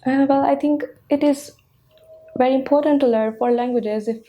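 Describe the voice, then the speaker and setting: A young woman with a Pakistani accent, a native Punjabi speaker, answering a mock speaking exam in halting sentences that restart mid-word. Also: sits high, fairly even intonation, dark tone. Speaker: test candidate.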